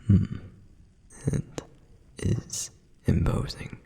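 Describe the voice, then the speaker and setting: A man voicing a dutiful, protective male flame demon in an ASMR roleplay, with a soft whispered and wistful, reflective delivery.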